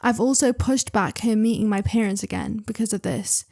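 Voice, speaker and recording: A young woman hosting her channel, reading online stories aloud with chatty, excitable commentary and asides. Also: mid-range voice, normal speed, gravelly. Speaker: reader and channel host.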